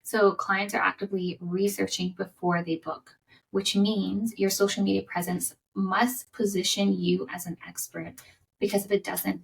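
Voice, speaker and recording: A woman hosting a solo business podcast, giving conversational coaching advice with a slightly raspy voice from coming down sick. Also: lowish voice, far from the mic, poor audio. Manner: conversational, repeats words and restarts, upbeat coaching.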